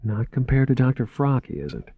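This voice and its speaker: A man voicing a sharp-witted young woman museum researcher who speaks in a cool, pointed, restrained way. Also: medium pitch, big pitch swings, moderate pace, no room sound, narrow, tinny audio, pitched above the performer's own voice.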